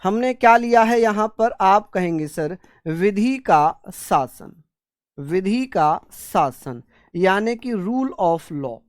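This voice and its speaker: A woman's voice: mid-range voice, expressive, slow pace, no room sound, studio quality. A woman teaching an exam coaching class. She repeats key terms, asks the students questions and answers for them, and mixes in English terms. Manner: acts out students' answers in call and response. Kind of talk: online classroom lecture.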